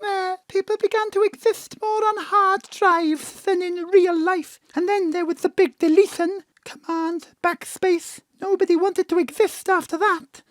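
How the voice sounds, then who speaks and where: A woman's voice: very high-pitched, fairly even intonation, a little slow, few pauses, no echo. A woman playing a matter-of-fact, slightly impatient little girl in an audio drama. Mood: deadpan. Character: small deadpan little girl, last of humankind.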